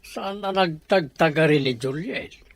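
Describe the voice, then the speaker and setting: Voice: medium pitch, unhurried, lightly acted. An actor with an Ilocano accent playing a sly, scheming household relative in a radio drama.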